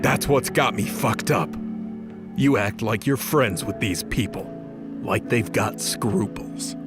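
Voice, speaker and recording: A man acts out a bitter, foul-mouthed fallen archangel in an audio drama. He speaks at a slow pace, cynical and quick to temper, over clearly audible music. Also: fairly low pitch. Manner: cynical, combative, cursing. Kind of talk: dramatized audio fiction acting. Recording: music behind the voice.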